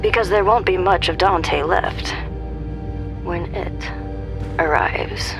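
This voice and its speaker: An actress playing a taunting, menacing female villain, with drawn-out phrases that sink into breathy near-whispers in an audio drama. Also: lowish voice, over music. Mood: threatening.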